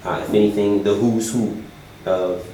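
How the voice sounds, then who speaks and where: A man from the United States, a volunteer teacher, answering interview questions in a measured, deliberate way with many fillers. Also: on the low side, steady tone, warm tone. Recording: very echoey room, faint noise.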